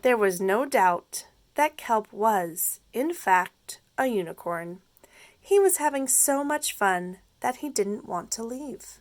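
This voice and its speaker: A woman reads a children's storybook like a teacher with her young class. Her voice sits fairly high and moves in lively swoops. She goes slowly, explaining simply, in a close, dry sound. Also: no echo. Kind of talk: expressive children's read-aloud storytelling.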